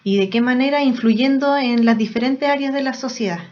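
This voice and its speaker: A woman from Chile with a Chilean accent, a missionary and nurse, answering interview questions calmly. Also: sits high, brisk. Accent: Chilean Spanish. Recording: dull lo-fi sound.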